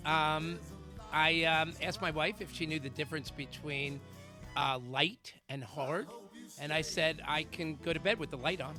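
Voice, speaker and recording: A man with a somewhat higher voice jokes his way through a casual talk show, tossing out puns. Faint music sits behind him. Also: fairly even intonation, a little slow, bright, forceful tone. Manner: joking, punny delivery. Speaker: comedian co-host. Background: faint band music.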